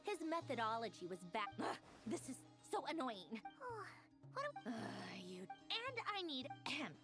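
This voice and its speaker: A small childlike game companion with a high, bouncing voice. The character is fussy and excitable, rambling and quick to exasperation, over prominent music. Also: female voice. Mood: flustered, annoyed. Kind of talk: video game voice acting.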